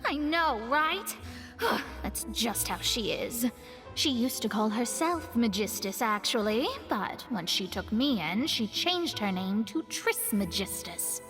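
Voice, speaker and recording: A woman's voice: a little high, very animated, slow pace, a bit bright, big-room sound, faint music behind, heavily acted. A woman voicing a proud young female astrologist in a studio game, composed and a little haughty as she explains things. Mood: explaining.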